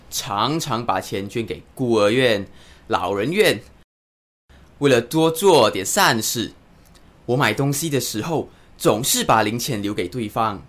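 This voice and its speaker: A man's voice: mid-range voice, fairly bright tone. A man playing a self-righteous do-gooder in a radio drama, pleading earnestly and with self-satisfaction about his own generosity. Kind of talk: scripted Mandarin radio drama acting.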